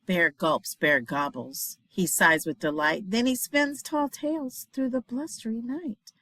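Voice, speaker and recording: A woman reading a children's picture book aloud in a dramatic, expressive way, dropping into hushed refrains. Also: medium pitch, unhurried, dry recording. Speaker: storybook reader, teacher.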